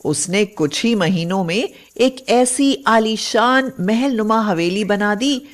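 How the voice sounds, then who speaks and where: A woman tells a scripted moral tale as its narrator. Her pitch is mid-range and her pace is markedly slow, with deliberate, expressive phrasing that suits an animated story.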